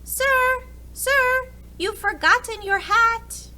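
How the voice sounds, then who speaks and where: A woman voicing a polite, eager old gentleman in a storybook, calling out courteously and insistently. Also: very high-pitched, extremely slow, slight background noise, voice pushed up, heavily acted.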